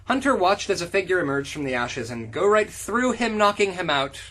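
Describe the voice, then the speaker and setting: A man's voice: high-pitched, very animated, normal speed, a bit bright. A man doing a casual comic reading of fanfiction as host, breaking off into incredulous, profane banter.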